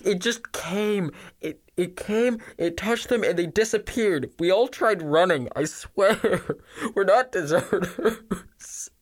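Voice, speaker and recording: Man playing terrified blinded soldier, war story, high strained pitch, stammering, sobbing, slow, pleading.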